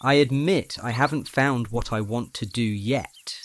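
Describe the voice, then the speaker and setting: A man acting a gently teasing, bookish young roommate in an audio drama, with measured, slightly formal phrasing. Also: medium pitch, a little hiss or hum, close to an everyday voice. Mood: friendly, thoughtful.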